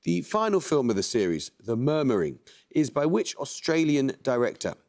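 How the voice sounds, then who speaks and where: A man hosting a quiz show, reading questions and introducing teams in a measured, precise way. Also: mid-range voice, sing-song, a little mellow. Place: TV studio.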